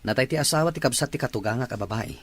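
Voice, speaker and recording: A man's voice: mid-range voice, rapid-fire, a bit bright, close to an everyday voice. A man playing an easygoing drinking buddy in an Ilocano audio drama.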